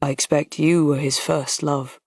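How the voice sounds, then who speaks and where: A composed, good-natured colonel, read by a woman, low-pitched and even, talking plainly and gently. The voice is close and dry, with no room sound. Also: measured pace, no echo. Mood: firm, kind. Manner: pleasant, steady, gently frank. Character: kind, calm older male colonel. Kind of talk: audiobook character voice.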